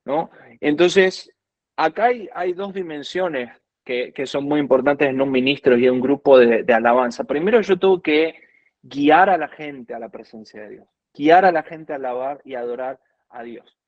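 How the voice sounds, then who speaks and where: A man's voice: medium pitch, normal speed, dry recording. Earnest Argentine man, dull dry sound. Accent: Argentine Spanish. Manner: earnest, quotes scripture at length. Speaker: guest worship minister. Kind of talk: conversational teaching.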